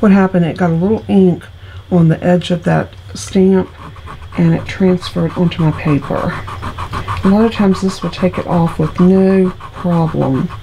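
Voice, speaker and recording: A middle-aged woman with a congested, stuffy voice walks through card-making in a chatty, homey way. Her voice sits low and mellow. A faint hiss stays under it. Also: steady tone, measured pace, creaky, low-quality recording. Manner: chatty, homey, talks through each step. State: congested, recovering from illness. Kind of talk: casual craft tutorial.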